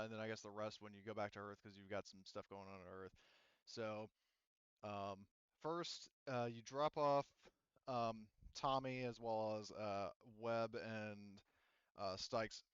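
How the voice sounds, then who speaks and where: A man acting as game master, casually narrating and making rulings in a halting, rambling way that often interrupts itself. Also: lowish voice, a bit bright, clean tone, no room sound, dull audio.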